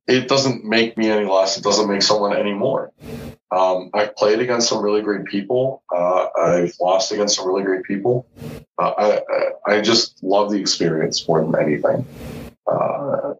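Forties male guest, low-ish, frank and profane; distant, dull call audio. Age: 40s. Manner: blunt and profane, candid. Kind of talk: casual chat. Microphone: video call.

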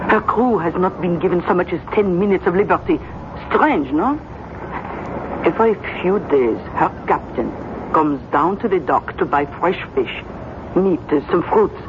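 An actress playing a gossipy, chatty French fishmonger in a 1970s radio drama, with a folksy, conspiratorial manner. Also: female voice, fairly low pitch, expressive, normal speed, close to an everyday voice. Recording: noisy, muffled 1970s radio broadcast.

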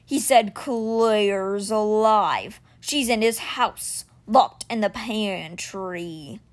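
A woman voicing a huge male stone cat guardian, speaking slowly in a low, measured, earnest way.

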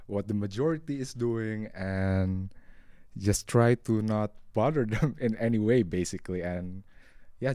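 A young man from Indonesia with an Indonesian accent, speaking haltingly and reflectively in a casual discussion. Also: lowish voice, darkish tone, dry recording, studio quality. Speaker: podcast guest.

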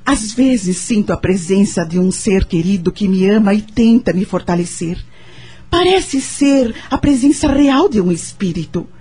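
A tactful, devout young wife from a Brazilian radio drama. Her mid-pitched voice is mellow and a little husky. She speaks sincerely and tentatively, as if confiding. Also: lively intonation, unhurried. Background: background music.

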